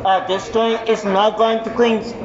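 A subway train conductor making live announcements, halting and repeating lines with many hesitations. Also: medium pitch, flat delivery, background noise. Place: subway car, heard over the train PA. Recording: echoey muffled PA in a crowded train.

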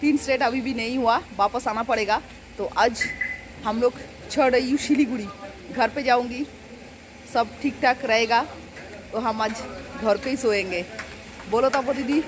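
A fairly high-voiced woman from West Bengal talks her way through a road trip in easy, chatty narration, ticking off places and expenses. She films herself on a phone held at arm's length from the car and the roadside. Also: measured pace, long pauses, noisy background. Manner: chatty, listing places and costs. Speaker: travel vlogger.

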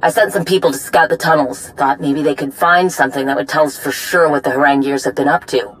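A woman acting a brisk, proud, no-nonsense warrior in a video game, with a blunt, clipped, dry manner. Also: low-pitched, steady tone, slight background noise, natural voice, poor audio. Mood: serious, concerned.

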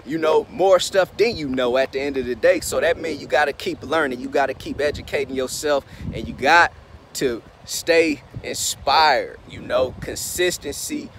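A man's voice: a little high, moderate pace, a bit bright, a little hiss or hum. A man in his thirties from Indiana, giving an upbeat, preachy pep talk while filming himself on a phone held at arm's length, outdoors and in a truck. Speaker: vlogger and business mentor. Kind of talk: casual motivational vlog talk. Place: outdoors by schools, then inside a pickup truck. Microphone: phone camera at arm's length.

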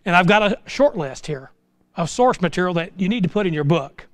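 Man, American accent, middle-aged, high-pitched voice, informal sermon, animated folksy exhortation, dry sound. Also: brisk, no room sound. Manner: folksy, exhortative, with rhetorical questions. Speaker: pastor preaching. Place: small church sanctuary, indoors.

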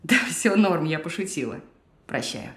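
An actress playing a sardonic, playful AI assistant in a scripted audio film, teasing and blunt. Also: female voice, deep voice, expressive, measured pace, fairly bright tone, roomy sound.

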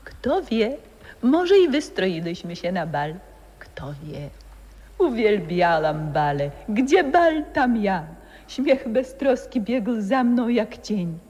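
A calm old friend, once a singer, speaks slowly and solemnly with an uncanny stillness. Every line is measured and unhurried. The old radio play recording adds a long, hall-like reverb. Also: female voice, medium pitch. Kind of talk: 1960s radio play acting.

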